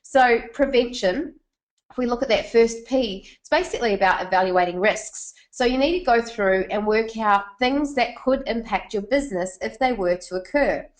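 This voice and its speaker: A woman's voice: on the high side, moderate pace. Woman, New Zealand accent, webinar presenter, chatty, asks the audience questions.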